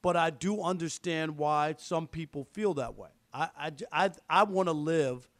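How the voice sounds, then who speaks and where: A man in his fifties talking casually and haltingly as a sports radio host. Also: fairly high pitch, dry recording. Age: 50s. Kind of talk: casual talk radio discussion.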